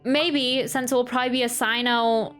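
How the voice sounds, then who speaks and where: A woman's voice: fairly high pitch, steady tone, normal speed, fairly bright tone, clear, resonant tone, soft background music. A woman giving casual gameplay commentary, chatty and rambling, often interrupting herself mid-sentence. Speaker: streamer reacting to a video game.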